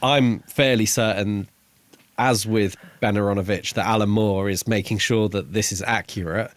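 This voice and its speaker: Male co-host, lowish voice, very dry close sound, explanatory but informal book chat. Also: steady tone, moderate pace, dry recording. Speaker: podcast co-host reviewing books. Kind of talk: casual book discussion.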